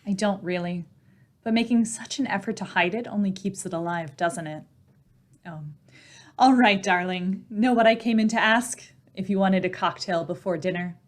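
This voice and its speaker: A woman's voice: mid-range voice, moderate pace, close to an everyday voice. A woman as a composed, knowing radio-play wife. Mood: calm, affectionate. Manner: gentle, coaxing, composed. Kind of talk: old-time radio play acting.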